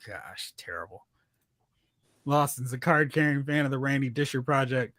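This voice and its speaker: A man hosting a casual chat about TV and film, telling stories in an animated, exclamatory way. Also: medium pitch, moderate pace, no room sound, studio quality. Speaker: podcast host.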